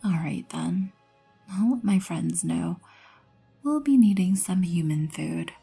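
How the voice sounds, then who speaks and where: Vampire queen, female actor, ASMR roleplay: slow, mellow, breathy near-whisper, haughty, poised and composed. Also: on the low side, expressive, faint music behind, full character voice. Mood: wry, imperious. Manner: poised, haughty, measured, soft-spoken. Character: regal, composed female vampire queen, proud and wryly merciful.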